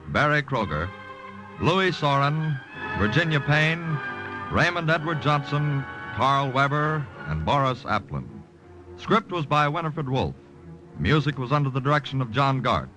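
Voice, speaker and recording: A man reading scripted announcements as a 1940s radio announcer, in a formal, measured manner. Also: mid-range voice, poor audio. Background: loud music.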